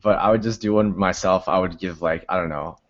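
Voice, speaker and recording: A man who reviews mods, chatting in a relaxed, hesitant way with lots of filler words. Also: deep voice, brisk, lo-fi sound. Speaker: mod reviewer. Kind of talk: casual group chat.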